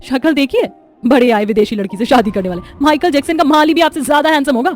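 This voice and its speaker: A woman plays a young sister in a cartoon story. Her voice is high and quick. She teases and scolds with cheeky sarcasm. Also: natural voice. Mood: teasing. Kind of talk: cartoon moral-story acting.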